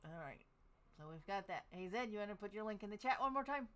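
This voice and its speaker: A woman's voice: medium pitch, animated, fast talker, a bit bright. A woman hosting a casual online watch-along, chatty with laughing asides. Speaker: host. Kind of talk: casual chat.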